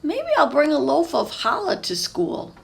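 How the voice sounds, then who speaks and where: An eager little boy from a storybook, acted out by a woman reader, speaking in a raised pitch that swings expressively. The words come out unhurried and full of feeling, as children's picture book dialogue. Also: sing-song, gravelly, exaggerated voice. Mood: hopeful.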